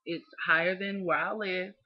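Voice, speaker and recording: A woman's voice: lowish voice, steady tone, normal speed. A young woman from Kentucky with a Southern accent, ranting on a webcam vlog in an emphatic, scolding way with lots of "you know what I'm saying". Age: young adult. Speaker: vlogger. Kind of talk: opinionated webcam rant. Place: small dim room indoors. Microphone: webcam close up. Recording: dull lo-fi webcam audio.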